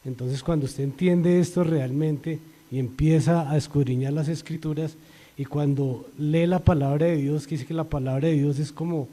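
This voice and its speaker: Colombian man, dark voice, reverberant hall. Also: a little high, steady tone, moderate pace. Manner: earnest, rises and grows louder when quoting scripture. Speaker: church member giving testimony. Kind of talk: spoken religious testimony.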